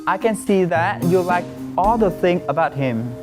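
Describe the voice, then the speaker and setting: A man with a Vietnamese accent hosting a casual interview, asking questions in simple, halting phrases. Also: high-pitched, lively intonation, music in the background. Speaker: talk show host.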